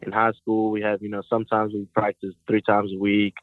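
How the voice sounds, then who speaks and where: Young male college athlete from Puerto Rico, low flat smooth voice, phoning in, easygoing. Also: brisk, dry recording. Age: young adult. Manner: relaxed, casual, uses fillers like "you know". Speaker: guest, college football player. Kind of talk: phone interview. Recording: tinny phone line.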